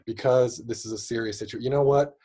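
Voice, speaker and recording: A man's voice: mid-range voice, fairly even intonation, quick pace, dark tone, husky, close to an everyday voice. A man playing an exasperated, strict father in an improvised role-play. He sighs and lectures in a weary way.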